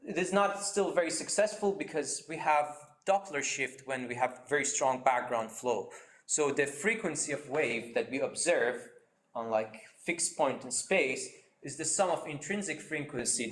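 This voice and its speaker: A man's voice: mid-range voice, a bit bright. Guy giving a science seminar on a video call, lots of uhs, kinda echoey room. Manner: explanatory, frequent fillers and restarts. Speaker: seminar speaker. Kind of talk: academic lecture over shared slides.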